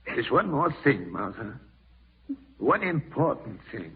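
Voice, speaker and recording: On a thin, narrow-band old radio recording, a stern, ailing old uncle who owns a farm. His voice is very husky and rasping, with wide swings of pitch. He speaks in a deliberate, folksy, commanding way, in the style of 1940s radio drama. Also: sing-song, full character voice. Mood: solemn, confiding. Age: older. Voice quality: gravelly, husky.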